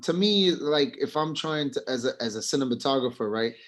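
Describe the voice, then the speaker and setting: A man's voice: medium pitch, animated, a little fast. A man in a casual group discussion, speaking informally and asking questions as a student of film.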